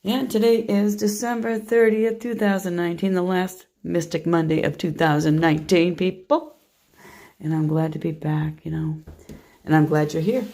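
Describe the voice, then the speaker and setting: A hoarse woman chatting through a tarot card reading, soft and meandering as she shuffles and lays out cards. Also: lowish voice, steady tone, moderate pace. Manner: soft, meandering, half to herself while handling cards. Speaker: tarot reader. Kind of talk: casual tarot reading monologue.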